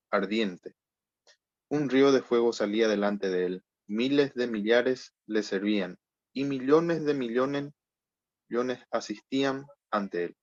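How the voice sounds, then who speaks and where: A man's voice: medium pitch, a little mellow, no room sound, muffled recording. A man from Paraguay introducing himself plainly and reading a scripture passage. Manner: plain, unhurried, says "¿verdad?" often.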